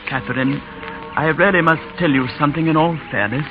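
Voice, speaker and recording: A shy, middle-aged English schoolmaster played by a male actor, earnest and self-deprecating, speaking haltingly. It is a muffled 1930s radio drama recording with music clearly behind the voice. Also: fairly high pitch, close to an everyday voice. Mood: earnest confession.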